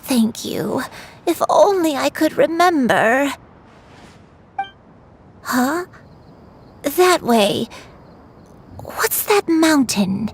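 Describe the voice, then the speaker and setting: An actress playing a frail, sorrowful old grandmother with failing memory in a video game, speaking haltingly and wistfully. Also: high-pitched, animated, a little hiss or hum, heavily acted. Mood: weary, wistful. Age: older. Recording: game music under the voice. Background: soft game music.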